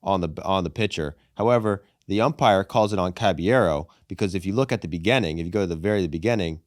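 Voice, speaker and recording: A young man hosting a casual sports podcast, animated and profane, calling people "brother" and "dude". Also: sits low, quick pace, no echo, studio quality. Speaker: podcast host.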